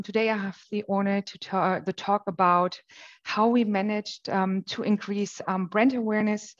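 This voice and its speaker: A woman from Germany with a German accent, giving a webinar presentation in a measured way with frequent pauses. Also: mid-range voice, muffled recording. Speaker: marketing manager presenting. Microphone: webcam on a video call.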